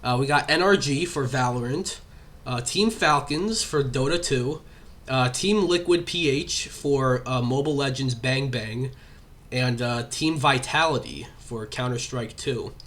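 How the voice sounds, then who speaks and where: Bright, rambling young male podcast host with a slight cough, casual chat, lots of "uh" and "like". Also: medium pitch, a little slow. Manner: rambling, many fillers. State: has a cough.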